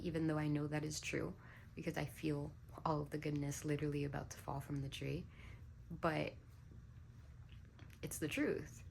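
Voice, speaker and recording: A young woman, a little hoarse, musing aloud in a casual vlog and clearing her throat often. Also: fairly low pitch, slight background noise, low-quality recording. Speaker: vlogger. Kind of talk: casual reflective vlog talk.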